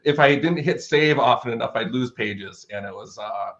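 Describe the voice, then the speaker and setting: A man's voice: medium pitch, moderate pace, a bit bright, highs cut off. An American man in his thirties speaking as an interview guest, telling stories in a casual, enthusiastic and wordy way. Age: 30s. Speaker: interview guest, fantasy author. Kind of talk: casual conversational storytelling.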